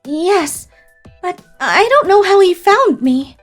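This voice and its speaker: Casting: actress as timid, sweet young woman, extremely high voice, manga romance drama, light music underneath. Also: sing-song, slow pace, full character voice. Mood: frightened. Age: young adult. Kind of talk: anime-like manga drama dialogue.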